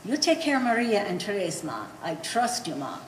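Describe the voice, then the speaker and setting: Actress doing blunt old mama, broken english, scolding, swingy pitch, big echoey room. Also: female voice, huge pitch swings, normal speed. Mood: insistent. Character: blunt, quarrelsome old immigrant Polish mother, scolding and shrewd. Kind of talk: live stage play acting. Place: art gallery stage reading. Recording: echoey hall, faint noise.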